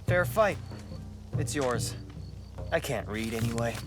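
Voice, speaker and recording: Cocky brawler, easygoing swagger, game music under him. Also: male voice, medium pitch, expressive, slow. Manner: boastful, easygoing. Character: cocky young male brawler who can't read.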